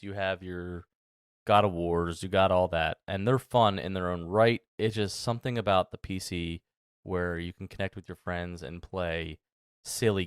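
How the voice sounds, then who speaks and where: Deep-voiced man rambling on a podcast. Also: smooth, dry recording, studio quality. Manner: rambling, many fillers and restarts. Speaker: podcast host. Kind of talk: casual solo podcast talk.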